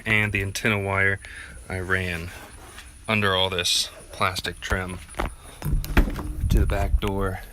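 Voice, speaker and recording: A man with a very bright, forward voice walks through a DIY tutorial in a plainspoken, casual way. His tone stays flat and even throughout. There is some background noise on the handheld recording. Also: deep voice, slow pace, pauses often. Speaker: vlogger presenting a DIY gear tutorial. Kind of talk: casual unscripted how-to walkthrough.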